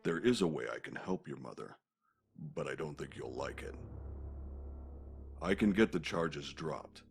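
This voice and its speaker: A man playing a calm, calculating senator in a comic dub, measured and deliberate. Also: very deep voice, gravelly, a little hiss or hum, full character voice. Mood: grave. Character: calm, calculating senator, older political dealmaker. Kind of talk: acted comic dub dialogue.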